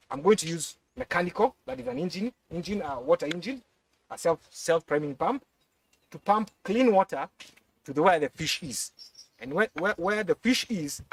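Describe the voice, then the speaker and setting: A young man with a Kenyan accent explains things on camera in a high-pitched voice. He speaks haltingly, repeating words as he demonstrates. The sound is picked up from a distance outdoors, with some background noise. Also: vocal fry. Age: young adult. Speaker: fish pond and crop farmer. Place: outdoors on a farm beside ponds.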